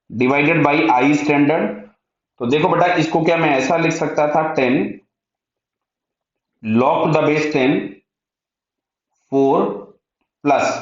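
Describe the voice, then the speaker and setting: Indian male teacher in a very echoey room. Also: on the high side. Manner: asks short check questions and answers them himself; mixes Hindi with English technical terms. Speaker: physics teacher. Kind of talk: step-by-step lesson, working through a problem aloud. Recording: very echoey room, dull muffled sound.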